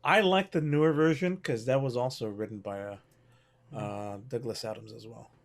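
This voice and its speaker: Man, early 40s, game master and host; middle pitch, playful and animated. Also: moderate pace. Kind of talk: casual chat.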